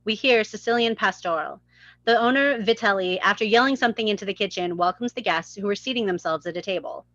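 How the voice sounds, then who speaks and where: A woman's voice: mid-range voice, fast, a bit bright, dry recording, dull audio. A woman reading scene headings and stage directions as scripted narration at a live table read.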